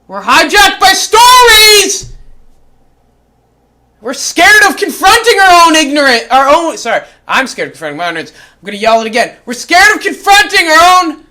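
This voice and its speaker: A performer voicing a short-tempered, foul-mouthed cat who reads a spiritual book aloud, going from calm, measured reading to yelled, swearing rants. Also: extremely high pitch, exaggerated voice. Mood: ranting.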